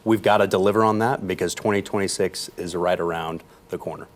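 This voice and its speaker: A man's voice: sits low, steady tone, fairly quick, slight background noise. A man giving political commentary as a panelist, in a confident, talking-point delivery.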